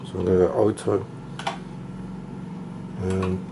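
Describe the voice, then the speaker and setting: Man, low gravelly voice, rambling, self-deprecating, casual demo, faint hiss. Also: little pitch movement, takes it slowly. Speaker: retro computer hobbyist.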